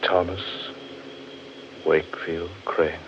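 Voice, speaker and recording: A man's voice: sits low, level tone, takes it slowly. An actor playing a grim, brooding, fatalistic man lost in a haunted forest in a 1940s radio horror play. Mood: grave. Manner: ominous, brooding, insistent. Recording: noisy, muffled 1940s radio recording.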